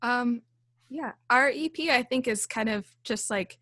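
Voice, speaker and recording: A woman's voice: a little high, measured pace, fairly bright tone, dry recording. A young woman who leads a band, chatting casually in an interview with a small, light voice, lots of fillers and frequent laughs. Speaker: band leader and songwriter.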